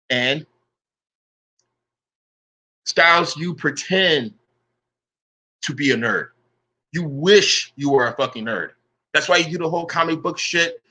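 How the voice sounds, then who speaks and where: A man's voice: fairly high pitch, pushed and bright, low-quality recording. A man ranting on a vlog, confrontational and profane, firing "bro" at his rivals. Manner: says he keeps the same voice with everyone. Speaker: online commentator. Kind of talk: angry vlog rant.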